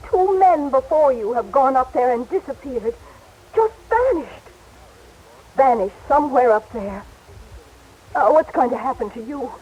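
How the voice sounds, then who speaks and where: An actress playing an astronaut's anxious, pleading wife in an emotional vintage radio drama style. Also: female voice, high voice, expressive, a little slow, long pauses, a little mellow, breathy edge, muffled recording, full character voice. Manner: emotional, imploring. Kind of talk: 1950s-60s radio drama acting. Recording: noisy vintage radio broadcast.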